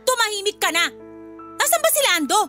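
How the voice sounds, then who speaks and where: A woman's voice: very high voice, big pitch swings, brisk, sharp tone, full character voice. An actress playing a bossy, hot-tempered, spiteful woman of the household in a Filipino radio drama, scolding and haughty with a Filipino accent. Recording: background music under voice.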